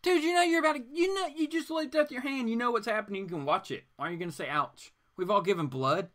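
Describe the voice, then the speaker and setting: A man's voice: extremely high pitch, fast talker, a bit bright. A man hosting a live show, casually reading comics aloud and commenting with mock-incredulous asides.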